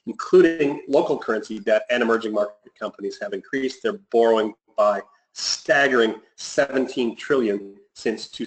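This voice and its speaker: A man hosting a weekly investment conference call, reading market commentary in a measured way with frequent ums and restarts. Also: mid-range voice, a bit bright, low-quality recording. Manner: measured, reads notes with frequent fillers and restarts. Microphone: phone or headset on a conference call.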